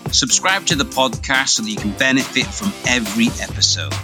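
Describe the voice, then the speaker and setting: A man's voice: mid-range voice, animated, brisk, music in the background. A man hosting a solo podcast, giving emphatic, upbeat coaching talk. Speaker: podcast host.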